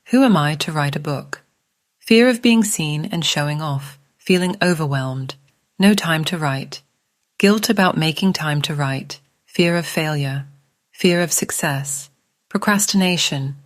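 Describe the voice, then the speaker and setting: Female author-coach, lowish voice, scripted self-help audiobook narration, measured and instructional, very dry close sound. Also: no room sound.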